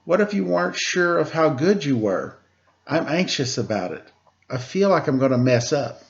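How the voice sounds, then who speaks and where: A man's voice: a little high, very animated, normal speed, creaky, highs cut off. A man voicing an anxious, earnest young schoolboy in a storybook. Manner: earnest, worried.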